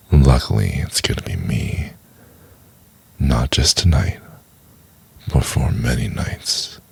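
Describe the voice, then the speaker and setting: A man's voice: no room sound, heavily acted. A man playing a possessive, obsessive yet tender boyfriend in an intimate ASMR roleplay, murmuring breathily and coaxingly. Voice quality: breathy, whispery, gravelly low murmur. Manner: slow, coaxing, intimate, lingering on words.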